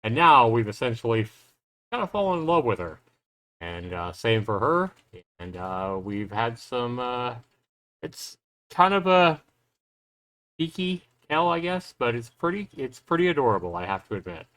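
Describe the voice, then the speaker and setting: A man's voice: medium pitch, sing-song, normal speed, fairly bright tone, no echo. A man hosting a livestream, recapping a visual novel in a chatty, informal way. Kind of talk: casual livestream recap, then reading game text aloud.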